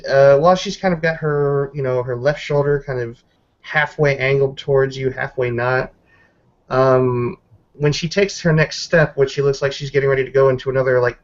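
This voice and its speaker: Man, mid-to-low pitch, conversational and halting tabletop roleplay narrator, slightly muffled lo-fi recording. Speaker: player narrating a character's combat moves. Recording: dull lo-fi voice recording.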